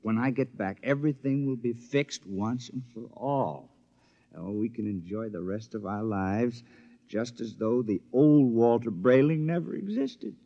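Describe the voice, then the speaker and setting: An actor playing a scheming robot double of a husband, smooth-talking with feigned hesitations, in 1950s radio drama style. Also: male voice, mid-range voice, measured pace, mellow, dry recording. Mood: evasive, resolute. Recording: dull vintage radio recording.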